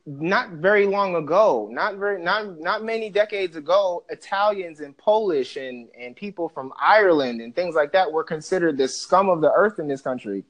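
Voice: medium pitch, expressive, normal speed, few pauses. A podcast co-host from the Bahamas, ranting animatedly and profanely in a casual discussion.

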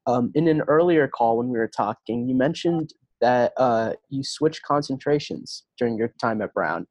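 A man's voice: medium pitch, expressive, a little mellow, no room sound. Male podcast host asking questions. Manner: measured, with "uh" and "um" fillers. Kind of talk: interview questions.

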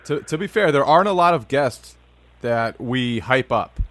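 A man's voice: mid-range voice, expressive, fairly bright tone, no room sound. Informal young man hosting a podcast. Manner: informal, halting, says "like" and "man" often. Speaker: podcast host, sports reporter. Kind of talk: casual chat interview.

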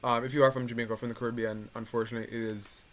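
Animated man podcast co-host. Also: mid-range voice, crowd noise. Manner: animated storyteller, many "um" pauses. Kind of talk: casual chat, recounting news.

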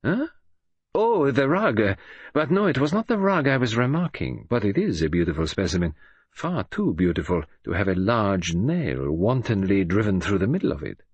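A man's voice: on the low side, sing-song, moderate pace, husky, dry recording, highs cut off. A man voicing a fussy, dapper older detective, precise and teasing. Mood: fastidious.

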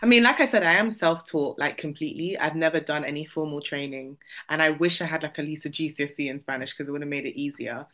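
A woman's voice: deep voice, fast talker, few pauses, sharp tone. A young woman from the UK chatting casually as a podcast guest, rambling with lots of fillers and restarts. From United Kingdom. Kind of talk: casual chat.

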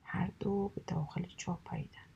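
A woman reading animal fables aloud in a soft, steady whisper, storytelling for relaxation. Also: lowish voice, vocal fry, breathy edge, muffled recording.

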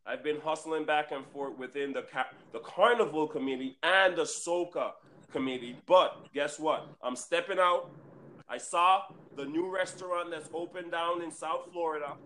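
Male podcast host, hyped and chatty, fairly high voice. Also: normal speed, slight background noise. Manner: hyped, excitable interjections. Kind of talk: casual interview.

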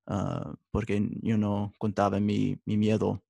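A young man, a religious brother studying for the priesthood, speaking Spanish as a third language and answering in a halting way with many restarts. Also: on the low side, completely flat delivery, creaky, dark tone, no echo. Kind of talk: interview answers.

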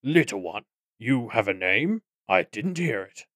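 A man's voice: on the low side, big pitch swings, measured pace, fairly bright tone, no echo, exaggerated voice. Guy playing a dapper goblin crime boss, pompous n wordy, kinda threatening, storybook style, close dry sound. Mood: pompous, controlling. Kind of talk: audiobook character acting.

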